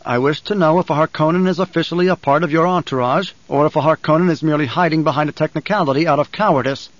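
A man's voice: fairly high pitch, quick pace, no room sound, muffled recording, close to an everyday voice. A man voicing a cold, defiant young nobleman in an audiobook, speaking in a controlled, declamatory way. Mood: challenging.

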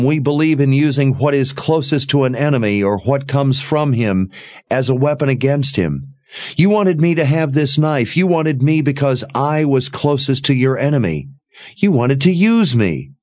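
A man's voice: medium pitch, darkish tone, voice pushed up, close to an everyday voice. A man voicing a frightened, accusing young woman in a fantasy audiobook, raised and strained. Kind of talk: audiobook character reading.

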